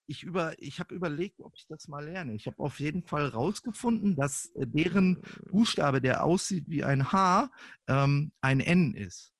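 A young man co-hosting a casual podcast, rambling and animated, with many restarts and fillers. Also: on the high side, moderate pace, no echo. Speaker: podcast co-host. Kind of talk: casual chat. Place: living in France for a year.

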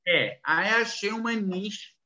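A man speaking slow, halting, broken Portuguese as a non-native speaker, answering interview questions with many restarts. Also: high voice, animated, bright, forceful tone, lo-fi sound. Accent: foreign, non-native Portuguese. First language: not Portuguese. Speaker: interviewee, businessman.

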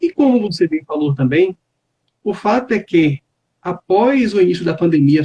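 A man, a labor judge, speaking in a measured, formal and deliberate way in a live online chat. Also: sits high, darkish tone, a little hiss or hum.

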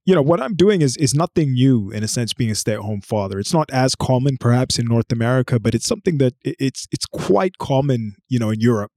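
A man's voice: mid-range voice, expressive, a little mellow, dry recording, studio quality. A man born in Brazil, a podcast guest explaining his view in a hedging, roundabout way. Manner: rapid, hedging, full of "you know" fillers. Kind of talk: casual podcast discussion.

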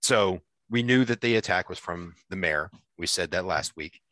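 Deep voiced guy on a fan podcast, kinda halting delivery, sharp bright tone, really dry sound. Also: no room sound. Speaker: podcast co-host. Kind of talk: casual fan discussion of a TV series.

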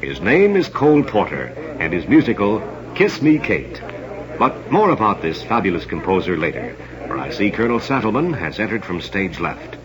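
A man's voice: on the low side, sing-song, normal speed. A man hosting an old-time radio theatre program with formal, theatrical showmanship. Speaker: radio show host and announcer. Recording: dull old radio recording, crowd noise. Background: murmuring crowd.

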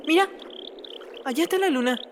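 A woman playing a timid, excitable, kind ten-year-old boy with a Paraguayan accent and hesitant speech in an audio drama. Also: high-pitched, normal speed, background noise. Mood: tentative, wondering. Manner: hesitant, stammering starts, expressive.

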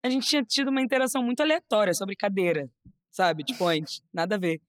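A young woman from Rio with a Carioca accent, chatting as a podcast guest, animated, often restarting and repeating words. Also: medium pitch, fast talker, no echo, clean studio sound. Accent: Carioca Portuguese. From Rio de Janeiro, Brazil. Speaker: model and influencer, podcast guest. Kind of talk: casual interview chat.

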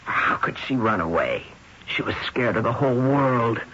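A man's voice: mid-range voice, expressive, normal speed, bright, forceful tone, husky. An actor playing a blunt, sardonic young man with an offhand manner in a radio drama. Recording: muffled, hissy old radio broadcast.

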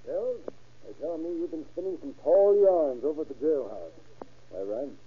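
Probing police officer, dry and needling, husky, lively pitch, tinny old radio play. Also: male voice, normal speed. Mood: probing, patient. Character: skeptical, probing male lawman questioning a suspect. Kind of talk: 1940s radio crime drama acting. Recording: tinny vintage radio recording.

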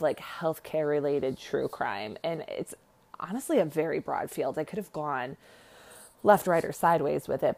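A woman's voice: low-pitched, vocal fry, darkish tone. A woman with a slight lisp hosting a casual podcast monologue, rambling in a self-deprecating, blunt and sarcastic way. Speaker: podcast host, nurse.

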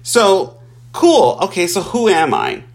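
A man's voice: sits high, slow pace, creaky. A man in his forties from Puerto Rico, telling his life story in a casual, chatty way and laughing often. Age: 40s. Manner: animated and chatty, laughs often, addresses listeners as y'all.

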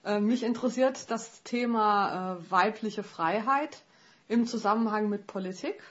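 A woman hosting her own podcast, asking questions in a relaxed conversational way with some fillers. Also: medium pitch, unhurried, muffled recording. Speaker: podcast host. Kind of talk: podcast interview.

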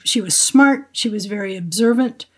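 A woman's voice: medium pitch, moderate pace. An older woman with an American accent, a historian reflecting aloud as an interview guest.

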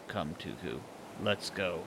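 Man as a low, calm, stern first mate. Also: vocal fry, background noise, exaggerated voice. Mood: calm, firm. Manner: terse, measured. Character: balding sinewy first mate, calm, stern, loyal sailor.

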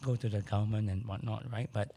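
Hoarse man, dry and candid. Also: on the low side, steady tone, quick pace, warm tone, no echo. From Malaysia. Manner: candid, matter-of-fact, occasional restarts. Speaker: podcast guest. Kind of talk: casual conversation.

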